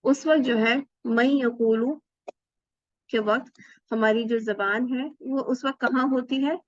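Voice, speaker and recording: A woman's voice: a little high, unhurried, no room sound, highs cut off. A woman teaching a Quran recitation class online, patiently explaining rules and asking rhetorical questions.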